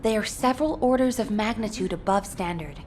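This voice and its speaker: A woman playing a composed military commander in a video game, giving formal, serious, measured briefings. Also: medium pitch, darkish tone, a little hiss or hum, close to an everyday voice. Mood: grave, businesslike. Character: composed adult female military mission commander briefing a soldier. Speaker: mission briefing character. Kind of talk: scripted game briefing dialogue.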